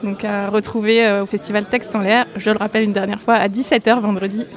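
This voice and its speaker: A woman hosting a radio show, announcing festival events in a clear, composed way. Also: mid-range voice, flat delivery, fast.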